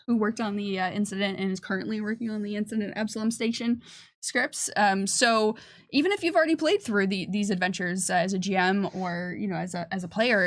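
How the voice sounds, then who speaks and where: Female game developer, middle-range voice, conversational and explanatory livestream presenting. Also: mid-range voice. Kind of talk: casual explanatory panel chat.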